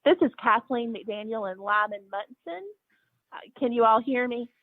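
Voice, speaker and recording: A woman's voice: fairly high pitch, animated, normal speed. A woman calling in to a public meeting, speaking politely and without a script. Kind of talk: polite unscripted phone comments. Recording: tinny dry phone line.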